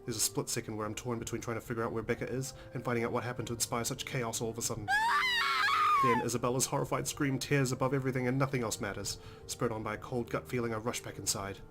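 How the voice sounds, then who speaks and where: A man's voice: mid-range voice, fairly even intonation, fast, raised voice. A man hosting a let's play, reading visual novel narration aloud dramatically with casual, emotionally involved comments. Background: game music.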